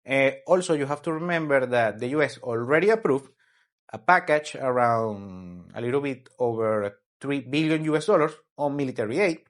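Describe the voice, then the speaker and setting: Guy from venezuela doing a weekly news rundown, slow and halting, mid-pitch voice. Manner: halting, searching for words as he explains. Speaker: host.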